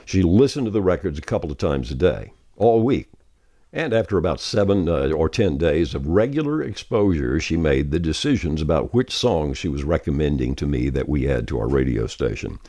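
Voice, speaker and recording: An older man speaking as a veteran radio host, explaining his trade in a measured, conversational monologue with anecdotes. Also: a bass voice, animated, dark tone, dry recording.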